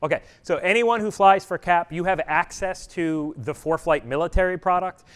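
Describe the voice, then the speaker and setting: A middle-aged man presenting at a conference session, speaking loudly and plainly to a live audience, with frequent "uh" fillers. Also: high voice, normal speed, clean tone. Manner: loud, projecting voice. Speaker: presenter, customer education trainer. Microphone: room mic or none, speaking to live audience.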